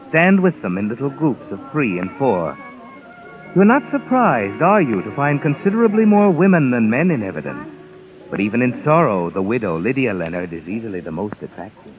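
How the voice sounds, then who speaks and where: An actor playing an ominous, all-knowing narrator of a 1950s radio mystery, speaking in a knowing, sardonic, deliberate way. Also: male voice, mid-range voice, natural voice. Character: ominous all-knowing male narrator addressing a guilty man. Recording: muffled vintage radio recording with music behind.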